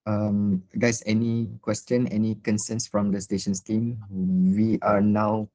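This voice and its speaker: Guy co-hosting a work meeting, lowish voice, pretty flat, hesitates a bit. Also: takes it slowly, no echo, rough recording. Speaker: meeting co-presenter. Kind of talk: informal work meeting talk, taking questions.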